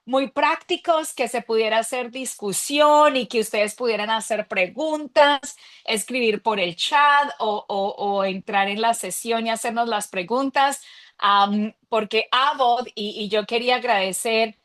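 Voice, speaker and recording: A woman's voice: fairly high pitch, a little slow. Chatty woman presenting on a video call. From Colombia. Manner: warm, chatty, enthusiastic, speaks to colleagues. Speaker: interventional cardiologist giving a talk. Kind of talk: friendly lecture over video call.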